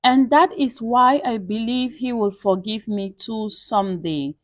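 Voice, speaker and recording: A woman voicing a gentle, troubled mother in a story, soft and reassuring with her children. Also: fairly high pitch, a little slow, no room sound, studio quality, lightly acted.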